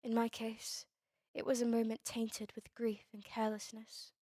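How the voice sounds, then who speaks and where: A woman playing a melancholy, courteous vampire in an ASMR roleplay drama, with formal, old-fashioned, measured phrasing. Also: fairly high pitch, monotone, warm tone, no echo, lightly acted. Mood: wry, grave. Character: melancholy, courteous female vampire, turned young over a century ago.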